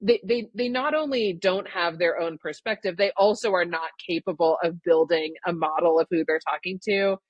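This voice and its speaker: A woman from Canada, a guest author answering podcast interview questions in a thoughtful, measured way that qualifies her claims. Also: on the low side, dry recording, dull audio.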